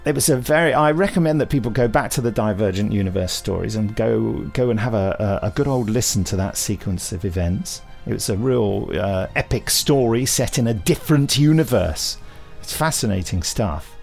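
A man's voice: on the low side, lively intonation, normal speed, vocal fry, hardly any pauses, darkish tone, soft background music. A man hosting a podcast, chatting casually in a rambling, wry way with many digressions. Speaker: podcast host. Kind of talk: casual podcast chat with readings.

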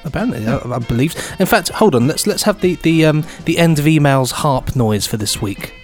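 A man's voice: on the high side, lively intonation, a little fast, faint music behind. A man co-hosting a podcast, chatting casually and reading out listener emails with affable, slightly hesitant phrasing. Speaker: podcast co-host.

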